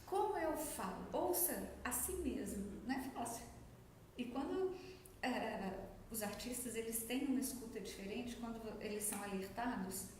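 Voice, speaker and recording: Minas Gerais woman, high voice, echoey. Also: expressive, normal speed, darkish tone. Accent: Minas Gerais Brazilian Portuguese. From Brazil, Minas Gerais. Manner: didactic, acts out quoted remarks. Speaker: speech therapist guest. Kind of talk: explanatory interview answers. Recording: very echoey room, faint noise.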